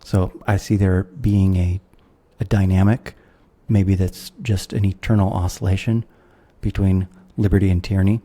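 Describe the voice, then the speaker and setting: A man's voice: low voice, little pitch movement, moderate pace, few pauses, mellow. An American man, a guest philosopher, talking through ideas in a thoughtful, professorial way with the odd wry laugh. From United States. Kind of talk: conversational interview.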